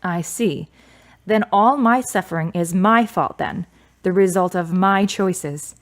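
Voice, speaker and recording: A woman's voice: lowish voice, takes it slowly. A woman acting as a grieving daughter in a radio drama, guarded and skeptical, with a wry, blunt manner. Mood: skeptical, defiant.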